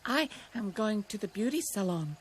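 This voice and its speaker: A woman's voice: fairly high pitch, animated, normal speed, slight background noise, voice pushed up, lightly acted. A woman voicing a vain, fussy female rabbit in a children's story, prissy and dramatic. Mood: breathless, vain.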